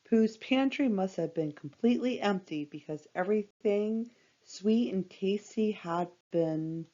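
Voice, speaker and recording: A woman's voice: fairly low pitch, darkish tone, highs cut off. A woman narrating a children's storybook in a scripted read-aloud style.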